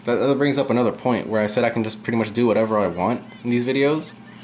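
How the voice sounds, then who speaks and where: A young man giving casual, rambling commentary while playing a video game, his voice low and at times monotone. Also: fast talker, a little hiss or hum, rough recording. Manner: rambling, chatty, drifts off topic. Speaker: let's play gamer. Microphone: camera aimed at the game screen.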